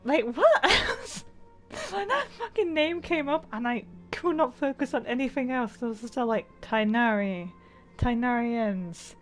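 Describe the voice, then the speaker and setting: A young woman gaming streamer with a slightly high voice. She reacts casually and irreverently, swearing and giggly, with game music underneath. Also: animated, measured pace.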